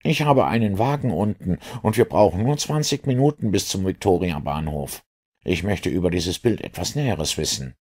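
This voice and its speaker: A man's voice: low voice, expressive, normal speed, darkish tone, slightly rough, pitched above the performer's own voice. A man voicing an eager, puzzled police inspector in an audiobook, earnest and questioning. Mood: curious, admiring.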